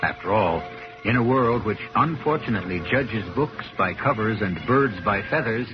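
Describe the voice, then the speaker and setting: A man acting as the urbane, philosophical host of a radio mystery, narrating in a deliberate, sardonic manner. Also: medium pitch, close to an everyday voice. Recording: dull 1970s radio broadcast recording. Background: music.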